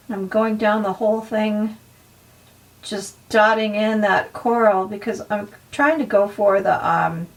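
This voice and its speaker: A woman with a slightly hoarse voice, narrating a casual art tutorial softly and unhurriedly, with frequent ums and pauses. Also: medium pitch, distant mic.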